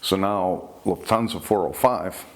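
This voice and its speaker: A man's voice: sits low, unhurried, rough recording. A man retelling recorded incidents in an informal, conversational storytelling style, as the host of a talk. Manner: conversational, relays dialogue in reported speech. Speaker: host recounting UFO reports.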